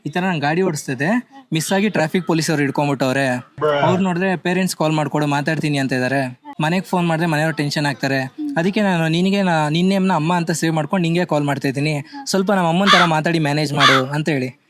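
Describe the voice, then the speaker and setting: A man's voice: on the high side, fast talker, fairly bright tone. A man hosting prank calls, chatting casually and mixing in English words. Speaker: prank show host. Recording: clearly noisy with clicks.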